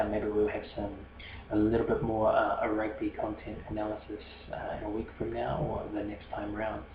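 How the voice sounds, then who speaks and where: Casting: New Zealand male podcast host, low monotone, hesitant rambler, muffled roomy recording with steady noise. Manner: hesitant, many fillers and restarts. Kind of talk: rambling solo podcast monologue.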